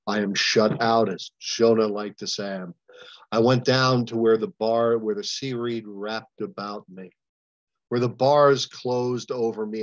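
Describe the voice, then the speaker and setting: An older man, a pastor leading a Bible study over a video call, preaching with emphatic, impassioned delivery and long pauses. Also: fairly low pitch, dry recording. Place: home room, indoors. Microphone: webcam on a video call. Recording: dull lo-fi webcam audio, dry.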